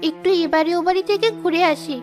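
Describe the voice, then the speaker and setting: A woman's voice: very high-pitched, fast, darkish tone, faint music behind. A woman voicing a poor, innocent young village girl in a cartoon, with a childlike, plaintive delivery. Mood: lonely, sad. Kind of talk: Bengali moral-story cartoon dubbing.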